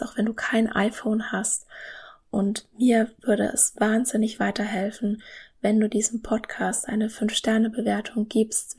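A woman's voice: mid-range voice, gravelly, darkish tone, breathy edge. A woman in her thirties hosting a solo podcast, talking earnestly and enthusiastically straight to the listener. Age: 30s. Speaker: podcast host.

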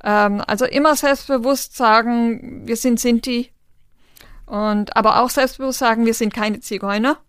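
A woman guest in an interview, talking in an earnest, measured way, often pausing with fillers while she thinks. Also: fairly high pitch, steady tone, fairly bright tone, smooth, no room sound, clean studio sound. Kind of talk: thoughtful interview conversation.

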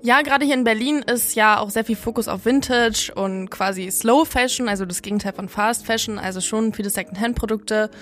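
A woman's voice: fairly high pitch, fairly quick, hardly any pauses, fairly bright tone, soft background music. A young adult woman co-hosting a podcast, chatting casually and presenting. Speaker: podcast co-host.

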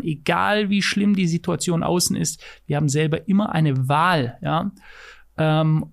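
A man's voice: fairly high pitch, vocal fry. A man co-hosting a podcast, speaking in an earnest, motivational way while searching for words. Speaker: podcast co-host. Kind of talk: casual podcast conversation.

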